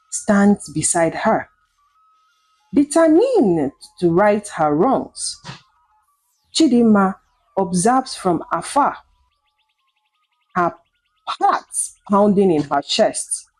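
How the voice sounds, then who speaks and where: Casting: female folktale narrator, dramatic scripted read, widely moving pitch, relaxed dark tone. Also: extremely slow, pauses often, soft background music, poor audio. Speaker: storyteller.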